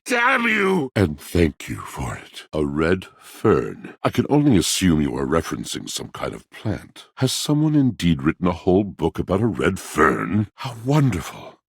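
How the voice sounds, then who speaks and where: An actor voicing a pompous, melancholic washing machine in a video game, a bookish traditionalist with theatrical, ornate, old-fashioned diction and a gravelly voice. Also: male voice, on the low side, wildly swooping pitch, moderate pace, hardly any pauses, no room sound, clean studio sound, exaggerated voice. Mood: anguished, overwhelmed. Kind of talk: video game character acting.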